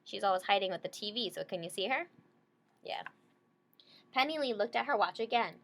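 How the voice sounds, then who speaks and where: A woman reads a picture book aloud to young children at a middling pitch. She breaks off for casual, simple explanations and often checks in with short tag questions. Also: expressive, a little fast, creaky, a bit bright. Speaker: storybook reader for children.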